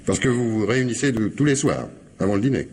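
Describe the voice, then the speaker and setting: An actor playing a polite but insistent police commissioner in a radio mystery, questioning deliberately with hesitations and repeated words. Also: male voice, on the low side, expressive, darkish tone, a little hiss or hum, close to an everyday voice. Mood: probing. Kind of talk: French radio mystery drama acting.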